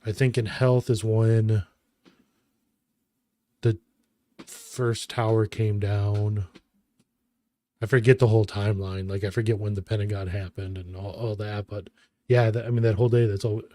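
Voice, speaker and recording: A man in his thirties co-hosting a casual chat, recalling memories in a halting way and often correcting himself. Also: on the low side, fairly even intonation, warm tone, no room sound. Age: 30s. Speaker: podcast co-host.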